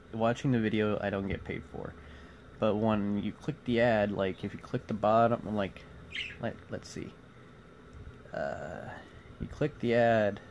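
A man in his forties chatting casually to viewers in a halting, rambling vlog, recorded on a phone indoors. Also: fairly low pitch. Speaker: vlogger. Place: small cluttered room indoors. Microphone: phone at arm's length. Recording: noisy phone recording, clear background noise.

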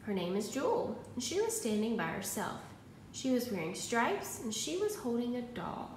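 A kindly lady mouse teacher from a picture book, read by a woman. The voice is very high and animated, with an encouraging, reassuring manner. The room sounds echoey. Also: moderate pace. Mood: gentle, encouraging. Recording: roomy echo, faint background noise.

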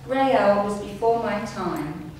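A woman in her sixties reads her written memoir aloud to an audience in a measured storytelling manner. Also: medium pitch, animated, a little mellow. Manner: measured storytelling, reading from pages. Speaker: amateur writer reading her own story. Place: indoor room at a community centre, seated. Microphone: distant camera microphone. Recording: echoey room, distant mic, faint noise.

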